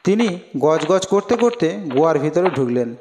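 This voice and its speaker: A man's voice: a little high, very animated, unhurried, darkish tone. A man narrating a scripted fairy tale as a storyteller. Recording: long reverb, music behind. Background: clear music.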